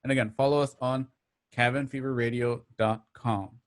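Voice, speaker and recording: A man hosting an online show, giving casual, slightly halting announcements and plugs to listeners. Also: medium pitch, steady tone. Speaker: host of an online radio drama show.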